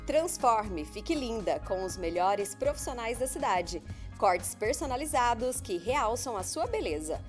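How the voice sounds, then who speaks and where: A woman's voice: on the high side, very animated, measured pace. A woman doing a polished, upbeat commercial voice-over for a beauty salon. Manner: upbeat, polished salesy read. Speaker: ad announcer. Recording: studio voice-over over music.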